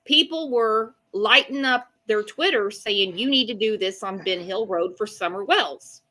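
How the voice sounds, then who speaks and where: Blunt woman with a bright, forceful voice. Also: mid-range voice, a little slow. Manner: blunt, colloquial, emphatic. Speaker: co-host of a live true-crime chat show. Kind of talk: casual opinionated chat.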